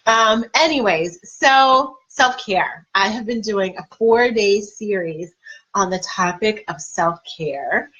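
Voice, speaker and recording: A woman with a cold and a husky, congested voice, chatting casually to viewers of her live stream as a life coach. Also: mid-range voice, slow, a bit bright. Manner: chatty, addresses viewers directly, laughs at herself. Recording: slightly dull lo-fi live stream audio.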